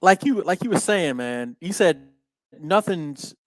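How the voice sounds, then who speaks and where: A man with a somewhat high voice talks casually on a podcast, recorded close and dry. He keeps circling back with "you know what I'm saying" and murmurs agreement while his guest speaks. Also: expressive, unhurried, no echo, low-quality recording. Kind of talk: casual conversation.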